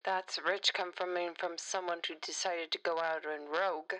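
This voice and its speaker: A woman playing a principled, defiant politician in an audio drama, speaking in a measured, earnest way. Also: lowish voice, fairly even intonation, bright, forceful tone, rough recording. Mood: dry, defensive.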